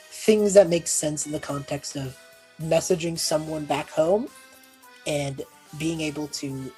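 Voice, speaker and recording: A woman's voice: sits low, warm tone, rough recording. A woman talking informally through a tabletop role-playing game, explaining things in a casual, improvised way. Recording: music playing behind the voice. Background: background music.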